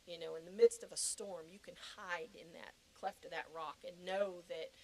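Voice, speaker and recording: A woman's voice: lowish voice, fairly even intonation, normal speed, mellow, a little hiss or hum, poor audio. A middle-aged woman giving a personal testimony as a podcast guest, talking conversationally and earnestly and repeating phrases for emphasis.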